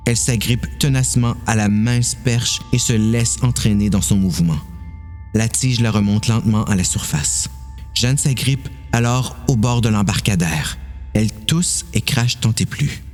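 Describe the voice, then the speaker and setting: A man's voice: fairly low pitch, normal speed, darkish tone, soft background music. A man with a Quebec French accent narrating a dramatised horror story.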